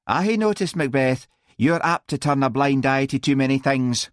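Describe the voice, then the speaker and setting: A whining young Scottish policewoman, acted by a male narrator in an audiobook, pitched up and swooping in a sing-song way. She is sour, accusing and full of contempt, in a close, dry recording. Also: expressive, moderate pace, no room sound, close to an everyday voice. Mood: nagging.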